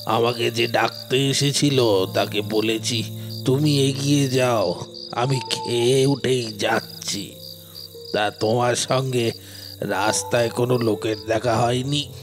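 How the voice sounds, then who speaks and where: Brief: man voicing a soft-spoken village priest uncle in a storybook horror tale, slow and low, faint timbre, over music. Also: steady tone, close to an everyday voice. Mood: concerned. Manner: slow, hushed and fatherly. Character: gentle middle-aged village uncle, a priest. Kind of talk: audio drama acting. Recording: background music.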